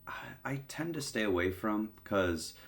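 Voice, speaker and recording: A man's voice: on the low side, a little slow. A young man with a stuffy, congested voice casually explaining his method in a tutorial, with lots of "um"s. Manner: relaxed, filler-heavy explaining with many "um"s. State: congested from a cold. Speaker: online seller teaching product research. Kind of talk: casual screen-recorded tutorial.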